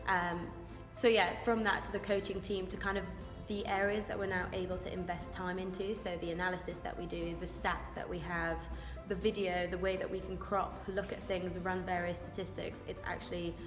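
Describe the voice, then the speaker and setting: A woman's voice: on the low side, level tone, normal speed, hardly any pauses. Young woman athlete, informal and keen, recorded in a reverberant hall. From Wales. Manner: chatty, eager, informal. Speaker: rugby scrum-half. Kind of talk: interview answers. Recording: echoey room with long reverb, music behind.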